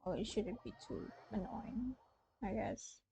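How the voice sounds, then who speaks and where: A young woman from Malaysia, an excitable game streamer chatting casually and exclaiming to her live viewers. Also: mid-range voice, unhurried, creaky. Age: young adult.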